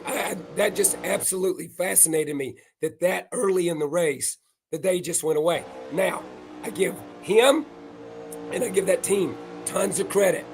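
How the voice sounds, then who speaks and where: Hoarse older male racing analyst, gravelly and raspy, folksy and emphatic. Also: high-pitched. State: hoarse, losing his voice. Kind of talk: live post-race analysis.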